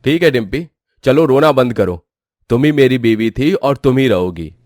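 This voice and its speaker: A man's voice: mid-range voice, lively intonation, normal speed, darkish tone, no room sound, clean studio sound, lightly acted. A man playing an earnest, firm young husband in a cartoon moral story. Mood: forgiving. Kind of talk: animated moral-story dramatisation.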